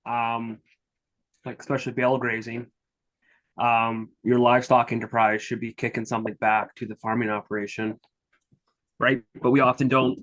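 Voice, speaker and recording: A man's voice: mid-range voice, little pitch movement, normal speed, fairly bright tone. A man in a mid-range voice joins a webinar discussion and talks through his thoughts casually. He leans on "like" and "right?" as he goes, in an easy, unscripted way. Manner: thinks aloud, hedging with "right?" and "like". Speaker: webinar participant.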